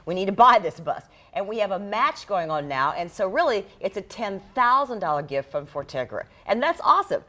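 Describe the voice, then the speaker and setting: A woman's voice: mid-range voice, sing-song, fairly quick, hardly any pauses, bright, forceful tone. A woman news anchor and reporter telling stories in a chatty, emphatic, conversational way.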